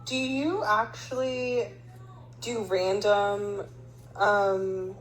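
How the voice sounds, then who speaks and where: A woman's voice: mid-range voice, steady tone, poor audio. A woman asking a question in a voice memo, drawing out her words. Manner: drawn-out, slow questioning. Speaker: fan sending a voice memo.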